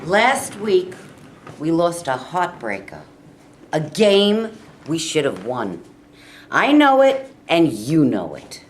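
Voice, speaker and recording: Woman as fiery football coach, swinging pitch. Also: big pitch swings, slow pace, slight background noise, heavily acted. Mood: stern, urgent. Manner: emphatic, exhorting, building to shouts. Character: fiery, demanding male football coach giving a pep talk. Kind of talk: staged reading of a play.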